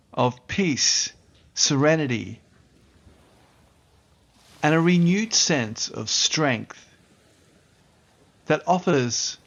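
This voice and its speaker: Slow-paced man guiding meditation. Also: mid-range voice. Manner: gentle, unhurried instruction in short phrases with pauses. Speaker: meditation teacher. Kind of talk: guided meditation.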